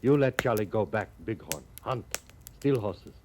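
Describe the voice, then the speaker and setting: An actor playing a cheerful, guileless Crow scout and horse thief in a 1950s radio western, speaking halting broken English and calling himself by name. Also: male voice, fairly low pitch, lively intonation, close to an everyday voice. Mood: pleading. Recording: old radio recording with background noise.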